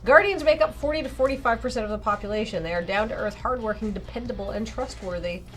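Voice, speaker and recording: A woman's voice: on the high side, quick pace, soft background music. A woman co-hosting a game stream, chatting casually and reading on-screen text aloud. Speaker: streamer and co-host.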